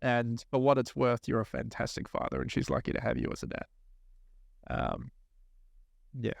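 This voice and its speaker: A man's voice: medium pitch, fairly even intonation, moderate pace, vocal fry, no echo, clean studio sound. A man in his thirties from New Zealand with a New Zealand accent, hosting a podcast, reflective and earnest as he asks probing questions. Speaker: podcast host. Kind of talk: casual interview chat.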